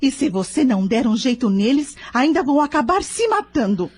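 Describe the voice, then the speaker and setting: Exasperated, high-voiced mother. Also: big pitch swings, a little fast, darkish tone, breathy edge, heavily acted. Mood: exasperated, fed up. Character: exasperated, fed-up mother of quarrelling twins. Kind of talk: audio drama acting.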